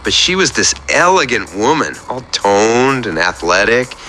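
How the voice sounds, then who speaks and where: A man's voice: medium pitch, very animated, a little slow, sharp tone, a little hiss or hum. A man playing an earnest, lonely, oversharing oddball client in a radio comedy, rambling in odd detail. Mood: wistful. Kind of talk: scripted radio comedy monologue.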